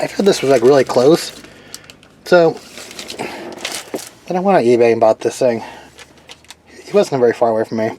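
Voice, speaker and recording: A man's voice: mid-range voice, a little mellow. A man narrating his hands-on project in a casual, unscripted way, rambling and thinking aloud informally. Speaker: DIY radio hobbyist presenting own project. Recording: clearly noisy background.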